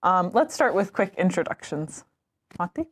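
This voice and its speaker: Friendly woman host, slightly low voice. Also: sing-song, normal speed. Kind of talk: hosting an information session.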